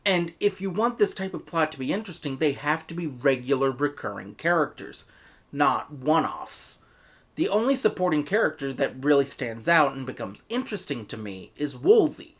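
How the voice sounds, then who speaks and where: An American man delivering a scripted review in an emphatic, opinionated way. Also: fairly high pitch. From United States. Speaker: reviewer, host.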